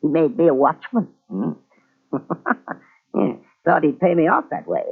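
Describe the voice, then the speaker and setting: A man's voice: on the high side, animated, a little slow, creaky, slightly rough, full character voice. An actor playing a deranged, vengeful old subway motorman in a radio thriller, rambling and eerie. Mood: brooding, gloating. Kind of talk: 1940s radio thriller acting. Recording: tinny, band-limited old radio recording.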